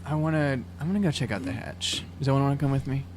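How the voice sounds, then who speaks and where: A man's voice: mid-range voice, fast talker, darkish tone, a little hiss or hum. A man playing a tabletop role-playing game, chatting casually with a dry, teasing manner. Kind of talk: casual chat.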